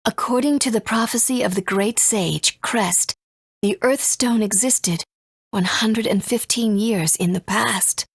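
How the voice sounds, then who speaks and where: A woman voicing a gentle, earnest mother and resistance fighter in a video game, soft and breathy, speaking in a measured, tender way. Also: mid-range voice, dry recording. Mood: earnest, solemn. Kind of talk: English video game voice acting.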